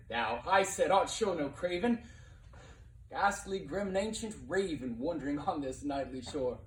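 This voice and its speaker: Theatrical man reciting poetry in a roomy space. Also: on the high side. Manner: theatrical, swelling from hushed to impassioned. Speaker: narrator reading a poem aloud. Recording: roomy, distant mic with faint noise.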